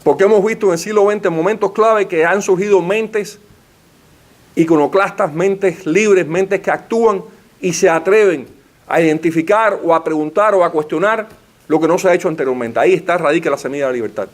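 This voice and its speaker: A man's voice: sits high, brisk. Man lecturing with rhetorical flair. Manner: rhetorical, uses questions and lists. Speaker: panelist giving a talk. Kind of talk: formal lecture. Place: library meeting room.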